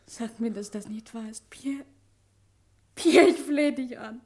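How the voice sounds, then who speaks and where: A woman's voice: fairly high pitch, slow, a little mellow. An actress playing a distraught, devoted woman with an emotional, dramatic manner in a vintage radio crime play. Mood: distraught, pleading. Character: distraught, devoted woman pleading with her lover.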